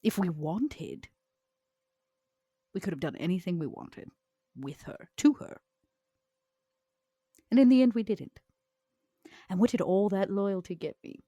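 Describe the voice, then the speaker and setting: A shrewd, proud female vampire , acted by a woman with a lively, swooping delivery. She is theatrical and cutting, choosing words deliberately, in a dry close recording of a tabletop game. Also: medium pitch, breathy edge, no room sound. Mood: bitter, proud. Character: Vera, proud, shrewd, long-lived female vampire enforcer. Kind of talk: improvised tabletop roleplay.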